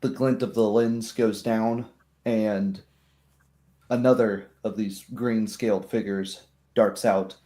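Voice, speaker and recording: A man narrating a tabletop roleplaying game as game master, in measured, hesitant phrases. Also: lowish voice, fairly even intonation, warm tone.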